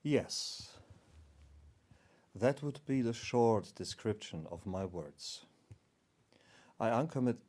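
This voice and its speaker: A low, unhurried cosmic guardian spirit, voiced by a man. The speech is formal and measured, like a calm teacher of spiritual matters, solemn and very deliberate. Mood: calm, instructive.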